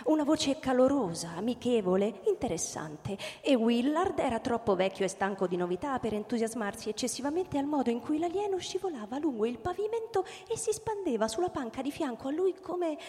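A woman narrator reading a literary story in measured, storytelling phrases for a radio drama. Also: high-pitched, animated. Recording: reverberant hall, loud music behind. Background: loud music.

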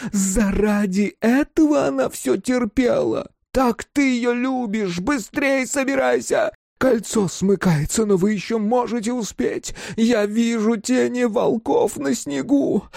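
A frantic old mother shrieks high and drawn-out in a storybook reading, as a man puts her on. Her voice is gravelly and dark, and the words drag slowly out of her. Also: no room sound, studio quality, full character voice. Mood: shrieking. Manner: shrieking, wailing in panic. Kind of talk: audiobook character voice.

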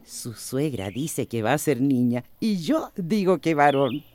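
Feisty, swooping-voiced Central American housekeeper. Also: female voice, sits low, sing-song, a little slow, darkish tone. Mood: friendly, chatty. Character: feisty, patient, bickering older housekeeper, devoted and long-suffering. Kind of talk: radio drama acting.

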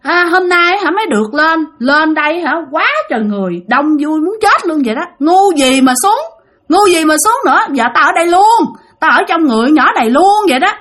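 A woman's voice: sits high, sing-song, fairly quick, fairly bright tone, pitched above the performer's own voice. A woman voicing a cocky gangster ghost who has possessed a young woman, taunting in brash, defiant street talk. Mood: stubborn, gleeful.

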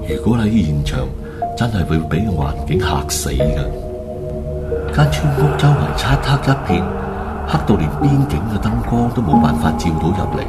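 A man tells a horror radio drama as narrator. His low voice moves with lively swings, colloquial and dramatic, while loud music plays underneath. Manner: animated, colloquial.

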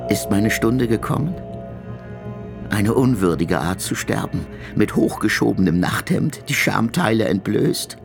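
An actor playing a weary, fatalistic old revolutionary in exile in a radio drama, resigned and reflective. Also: male voice, on the low side, animated, measured pace, gravelly, over music. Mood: fearful, bitter.

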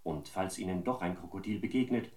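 A man's voice: deep voice, a little fast, a little mellow, reverberant, close to an everyday voice. A man playing a wry radio news reporter, reading a scripted report in a polished, tongue-in-cheek way.

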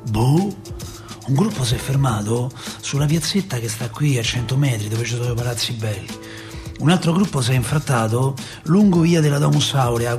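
A man's voice: medium pitch, close to an everyday voice. A male actor playing a grieving working-class Roman father, telling his story in an emotive, colloquial radio monologue with a Roman accent. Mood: uneasy recollection.

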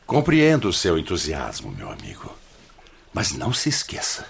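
An actor playing a grave, patient spirit mentor in a radio drama, giving stern fatherly counsel in a measured, formal way. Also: extremely low pitch, huge pitch swings, creaky, husky, full character voice. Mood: grave, admonishing.

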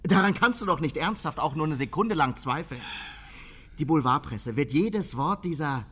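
A man playing an alarmed, pessimistic party chairman in a naturalistic radio drama, husky and agitated. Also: on the high side, animated, moderate pace, a bit bright.

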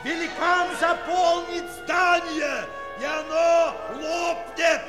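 An actor playing an agitated circus magician who gives urgent, theatrical orders in a children's audio play. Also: male voice, extremely high pitch, flat delivery, slow, echoey room, big-room sound. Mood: frantic. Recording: old recording, loud music and crowd.

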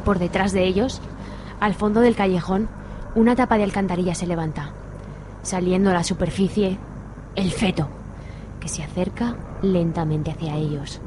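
A woman's voice: fairly low pitch, normal speed, long pauses, noisy background. A woman reading scripted narration for a radio soap.

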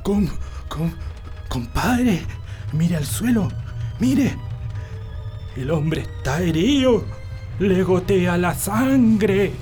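A jittery, high-voiced Chilean countryman. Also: expressive, extremely slow, long pauses, darkish tone, heavily acted. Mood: frightened. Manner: stammering when scared. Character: jittery, stammering rural Chilean man, frightened buddy. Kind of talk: radio drama acting. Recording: music behind the voice.

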